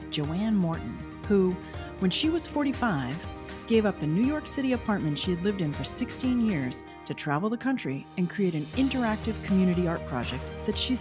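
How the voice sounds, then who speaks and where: A middle-aged woman hosting an interview podcast, asking encouraging questions. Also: medium pitch. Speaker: podcast host.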